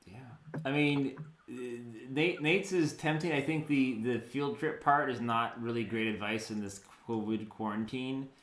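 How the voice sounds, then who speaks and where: A man's voice: mid-range voice, unhurried, a bit bright. A man bantering casually as a guest judge on a comedy quiz show. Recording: roomy, slightly echoey sound.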